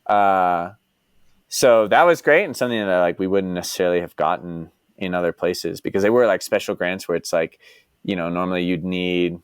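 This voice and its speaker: A young man from Texas talks in a relaxed, meandering way, leaning constantly on "like" and "you know" as he works through his thoughts. His voice sits fairly low and comes across close and dry, with no room sound around it. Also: moderate pace, clear, resonant tone, no echo. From Dallas, United States. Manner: rambling, heavy use of "like" and "you know". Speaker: podcast guest. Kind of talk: casual interview chat.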